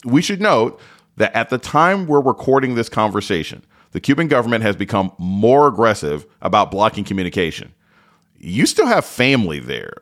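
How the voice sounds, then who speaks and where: A male radio host with a very deep voice introduces his guest from a script. He asks his questions in a measured, calm and steady way.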